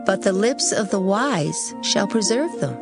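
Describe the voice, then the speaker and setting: A woman giving a solemn, measured dramatized reading of scripture. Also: mid-range voice, animated, gravelly. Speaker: scripture reader. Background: music.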